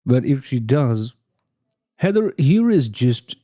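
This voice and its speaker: Male amateur storyteller, very dark and soft-edged tone, deliberate dramatic emphasis, close dry sound. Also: medium pitch, very animated, no echo. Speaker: amateur reader. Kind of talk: casual talk and dramatic audiobook-style reading.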